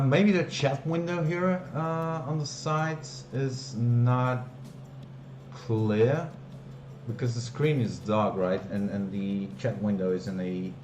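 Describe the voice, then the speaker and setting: Flemish man with a deep voice. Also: roomy sound. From Belgium, Flemish part. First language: Dutch. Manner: hesitant, many fillers and restarts. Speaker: streamer. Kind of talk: casual live-stream walkthrough.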